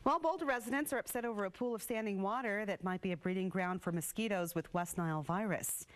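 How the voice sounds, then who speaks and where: A woman's voice: mid-range voice, fast, a little hiss or hum. An American woman reading scripted morning news as a television anchor.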